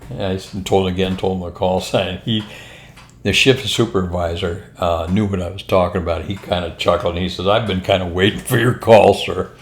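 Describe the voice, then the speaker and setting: An older American man, a former pilot, telling anecdotes in a casual, unhurried, rambling conversational way. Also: sits low, fairly even intonation, slight background noise. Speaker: retired military and airline pilot telling stories. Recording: roomy, echoing room sound.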